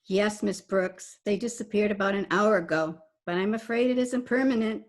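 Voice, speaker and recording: A woman's voice: mid-range voice, moderate pace, close to an everyday voice. A woman playing a polite, earnest teenage schoolgirl in an old-time radio comedy, recorded over a remote call. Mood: polite concern. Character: polite teenage schoolgirl, principal's daughter, dutiful.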